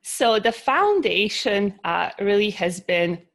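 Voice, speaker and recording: A woman's voice: medium pitch, big pitch swings, slow pace. A woman from Bosnia with a Bosnian accent, a podcast guest talking through her ideas with many fillers and restarts. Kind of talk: casual interview talk.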